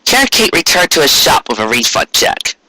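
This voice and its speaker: Male story narrator: medium pitch, lively intonation, husky edge, earnest scripted read. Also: brisk, dry recording, low-quality recording. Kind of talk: scripted fan fiction storytelling.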